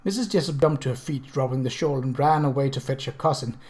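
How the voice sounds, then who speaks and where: A man reading a period mystery novel aloud as scripted narration, with measured storybook phrasing. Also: medium pitch, a little mellow. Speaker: audiobook narrator.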